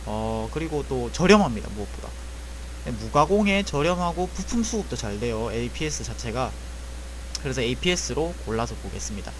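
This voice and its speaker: A man's voice: mid-range voice, expressive, fairly quick, slight background noise, rough recording. A man walking viewers through buying airsoft gearbox parts, explaining casually step by step and often correcting himself mid-sentence. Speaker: airsoft repair tutor. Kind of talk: screen-recorded parts-shopping walkthrough.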